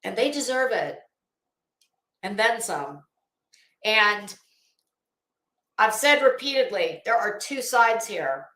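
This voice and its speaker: A woman's voice: medium pitch, lively intonation, slow pace, pauses often, fairly bright tone, reverberant. A woman hosting an opinionated livestream, speaking to viewers in a blunt, scornful, emphatic manner and repeating phrases for weight. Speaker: livestream host.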